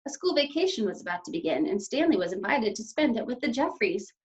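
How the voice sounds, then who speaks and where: A woman teacher reading a children's story aloud and explaining it to young pupils in an expressive way. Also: on the high side, quick pace. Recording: slightly dull, lo-fi sound.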